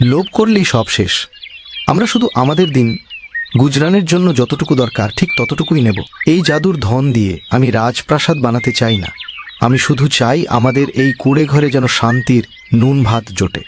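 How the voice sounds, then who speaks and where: A man's voice: medium pitch, lively intonation, a little fast, background noise, natural voice. A man voicing a humble, earnest poor village husband in a cartoon, speaking in a cautioning, moralising way. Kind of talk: cartoon voice acting.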